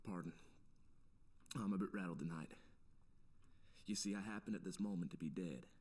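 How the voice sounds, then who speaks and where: Man reading a rattled stranger, game figure; low, urgent, pleading. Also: moderate pace, darkish tone, slightly rough. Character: rattled, secretive male stranger asking for help.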